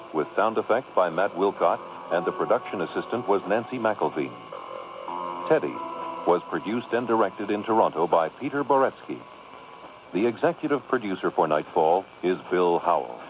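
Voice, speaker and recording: A man reading scripted credits as a radio announcer. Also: low voice. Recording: noisy AM radio cassette.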